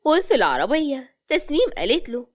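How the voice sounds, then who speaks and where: A woman's voice: high voice, huge pitch swings, brisk. A woman with an Egyptian Arabic accent narrating a scripted romance story as storyteller.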